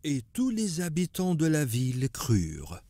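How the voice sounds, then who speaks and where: A man's voice: on the high side, huge pitch swings, unhurried, warm tone, no room sound, exaggerated voice. A man voicing a kindly old grandfather sage who tells stories in a patient, solemn way in a cartoon. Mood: solemn, reverent. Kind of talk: cartoon storytelling.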